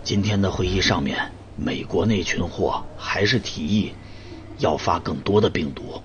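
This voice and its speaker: A man plays a cynical older colleague in a radio play, speaking furtively. His voice is low. It sounds like a muffled phone call with a little noise. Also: measured pace. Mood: guarded. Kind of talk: audio drama acting.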